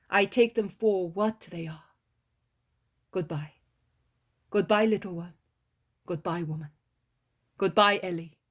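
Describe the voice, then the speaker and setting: Jesus, as a woman does him hushed and gentle. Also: lowish voice. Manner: soft, hushed and near-whispered. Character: gentle calm male teacher, Jesus.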